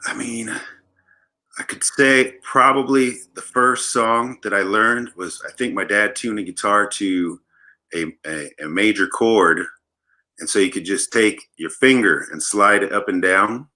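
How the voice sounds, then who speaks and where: Bright-voiced joking man. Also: lowish voice, measured pace. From Los Angeles. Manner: joking, playful, rambling storyteller. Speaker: guest bassist. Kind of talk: casual interview chat.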